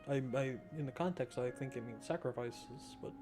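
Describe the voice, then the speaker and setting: A man with a mid-pitched voice hosts a gaming video. He comments casually and thinks out loud, with faint music behind him. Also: moderate pace. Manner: informal, thinking aloud. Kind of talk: casual let's play commentary.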